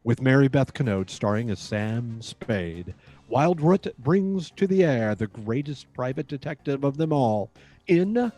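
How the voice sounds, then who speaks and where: A man's voice: mid-range voice, big pitch swings, a little slow, warm tone. A man announcing in old-time radio style, delivering scripted show introductions and sponsor reads.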